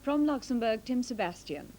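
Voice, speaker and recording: A woman's voice: on the high side, lively intonation, moderate pace, warm tone, a little hiss or hum. British woman reading the news. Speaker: newsreader.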